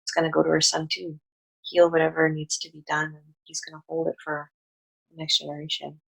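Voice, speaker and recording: A woman with a low, gravelly, somewhat husky voice, chatting loosely as a healing practitioner. She rambles and trails off into asides. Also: completely flat delivery, brisk, fairly bright tone, poor audio. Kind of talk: casual chat.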